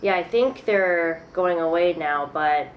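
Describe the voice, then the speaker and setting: Congested young woman, chatty upbeat vlogger, a bit low-pitched, faint room hum, camera mic in a small kitchen. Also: slow pace, highs cut off. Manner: chatty, upbeat, laughs often. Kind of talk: casual cooking vlog.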